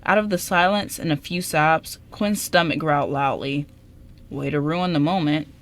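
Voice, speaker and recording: A woman's voice: low voice, moderate pace. A woman reading scripted narration for an amateur radio drama.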